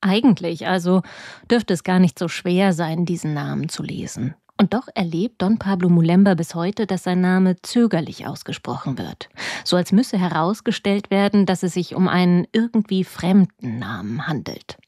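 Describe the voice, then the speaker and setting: Woman reporter reading a scripted review, slow measured phrasing, dark mellow tone, very dry sound. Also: lowish voice, animated, no echo. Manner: measured, deliberate storytelling. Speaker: radio reporter reviewing a book. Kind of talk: scripted narration.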